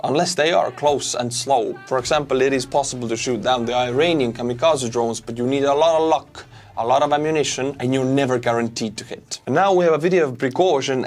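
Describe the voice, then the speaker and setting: A man with a medium-pitched voice hosts a news commentary. He is casual yet blunt and opinionated. He puts firm emphasis on his points as he talks. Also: darkish tone. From Estonia. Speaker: host of war update show.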